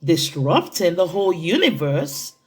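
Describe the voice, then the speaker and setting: A woman reading a children's picture book aloud in an expressive, theatrical way, with deliberate phrasing for young listeners. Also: on the low side, soft background music. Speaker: children's storytime reader.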